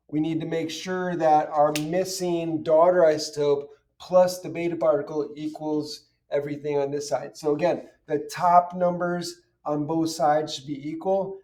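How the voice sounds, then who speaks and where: Mellow-voiced male teacher, slightly distant mic. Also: fairly high pitch. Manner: explanatory, poses questions and answers them. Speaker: chemistry teacher. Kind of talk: informal step-by-step lesson, worked examples.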